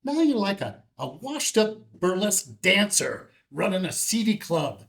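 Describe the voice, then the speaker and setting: An actor playing a sneering, impatient, corrupt power broker in a script table read, pointed and deliberate. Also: male voice, sits high, big pitch swings, creaky, fairly bright tone, heavily acted. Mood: contemptuous, menacing. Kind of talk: filmed screenplay table read.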